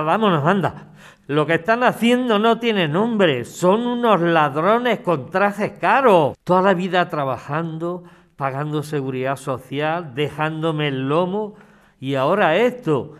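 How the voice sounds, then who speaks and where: A man's voice: a little high, expressive, a little slow, a bit bright. A man with an accent from Spain playing a proud, stubborn old pensioner in an audio drama, blustering and exclaiming in formal, old-fashioned address. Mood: outraged.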